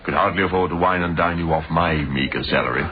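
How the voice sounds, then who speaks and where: An actor playing a brisk, driven, impatient businessman who runs a frozen-food firm, in a radio drama. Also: deep voice, lightly acted. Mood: suave, businesslike. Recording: noisy, muffled old radio drama recording.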